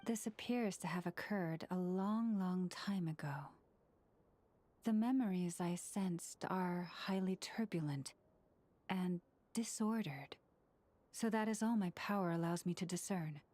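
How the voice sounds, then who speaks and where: A woman voicing a gentle, thoughtful young spiritual leader in a video game, speaking in a measured, formal way. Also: fairly low pitch. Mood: solemn. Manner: measured, soft-spoken, formal.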